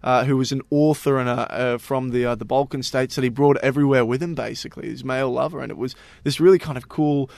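A man's voice: medium pitch, fairly even intonation, fast, gravelly, few pauses, a little mellow, no echo. A man co-hosting a casual podcast, telling stories to his co-hosts in a chatty way, with lots of fillers. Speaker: podcast co-host presenting stories. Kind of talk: casual group chat.

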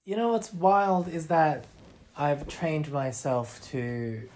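A man's voice: fairly high pitch, expressive, takes it slowly, a little mellow, a little hiss or hum. A young man with an Australian accent talking informally as an interviewee, self-deprecating and often acting out bits of dialogue. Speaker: interviewee, artist. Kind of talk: casual conversational interview.

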